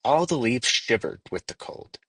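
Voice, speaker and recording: Husky, expressive man reading a storybook. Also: mid-range voice, normal speed, no room sound. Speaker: storybook reader. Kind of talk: scripted storybook narration.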